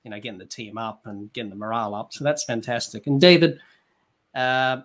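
Young male podcast host, mid-pitched. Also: brisk, a bit bright. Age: young adult. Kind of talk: casual interview. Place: indoor room at home. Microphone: video call.

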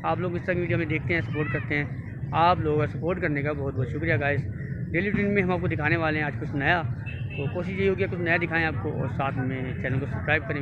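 A young man chats casually as a vlogger, repeating phrases and mixing in English catchphrases. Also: a little high. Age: young adult. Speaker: vlogger, host. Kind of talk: casual outdoor vlog commentary. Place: outdoors in a public park. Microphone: phone at arm's length. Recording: dull lo-fi phone audio, noisy park.

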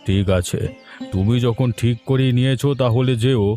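A man playing a gruff, worried middle-aged farmer father in a cartoon, with a grumbling, weary manner. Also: medium pitch, moderate pace, mellow, soft background music, close to an everyday voice. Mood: stern, troubled. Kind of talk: Bengali moral-story cartoon dubbing.

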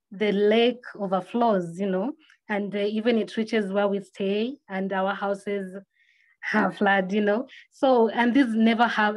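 A woman's voice: mid-range voice, poor audio. A woman from western Kenya with a Kenyan accent, hosting an online discussion. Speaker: host, moderator. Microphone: video call.

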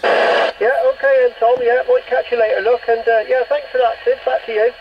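A man's voice: extremely high pitch, little pitch movement, normal speed. A man chatting casually as a CB operator over a two-way radio. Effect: two-way radio transmission. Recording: dull, faintly noisy CB radio reception.

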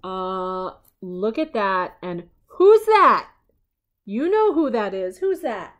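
A middle-aged woman reads a picture book to young children in her living room. Her pitch moves around a lot as she slips between narration and chatty questions. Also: slow pace. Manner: animated, questioning, child-directed. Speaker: grandmother reading picture books to children. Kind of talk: storybook read-aloud with casual asides.

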